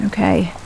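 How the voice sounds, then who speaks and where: A woman's voice: medium pitch, creaky, a little hiss or hum, exaggerated voice, poor audio. A woman playing a weary, irritable young woman traveller in an audio drama, sighing and sarcastic. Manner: exasperated, sarcastic sighing delivery.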